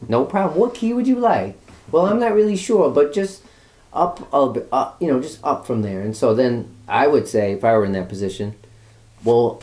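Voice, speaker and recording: A man's voice: a little high, darkish tone. A man giving a guitar lesson, patiently walking students through chords step by step. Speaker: guitar teacher. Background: guitar playing.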